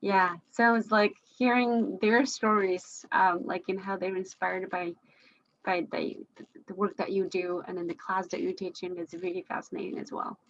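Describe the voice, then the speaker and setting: A woman's voice: medium pitch, flat delivery, moderate pace, lo-fi sound. A woman from China, speaking English as a second language, moderating a casual video-call discussion with frequent restarts, fillers and laughs. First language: Chinese. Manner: hesitant, many restarts and fillers, laughs often. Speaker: panel moderator.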